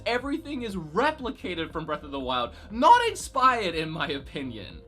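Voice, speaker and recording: A gaming commentator delivering game news in a wry, sarcastic, animated way. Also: medium pitch.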